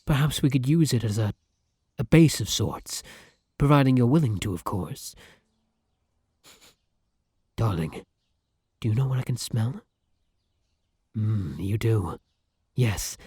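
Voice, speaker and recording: A man's voice: mid-range voice, warm tone, slightly rough, no room sound, clean studio sound. A man playing a devoted, old-fashioned vampire in an ASMR roleplay, speaking formally and with flowery affection. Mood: gentle sympathy, then savouring, dreamy. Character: courtly, devoted gentleman vampire, male, tender and old-fashioned. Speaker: roleplay voice actor. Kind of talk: ASMR roleplay acting addressed to the listener.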